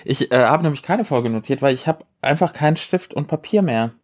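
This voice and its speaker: A man's voice: fairly high pitch, expressive, a little fast. A man chatting casually as a podcast co-host, rambling along in a chatty way. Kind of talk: casual chat.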